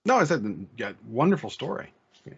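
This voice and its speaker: Man, podcast co-host, husky, casual, halting delivery, dull lo-fi sound. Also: medium pitch, sing-song. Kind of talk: casual chat, listening and responding.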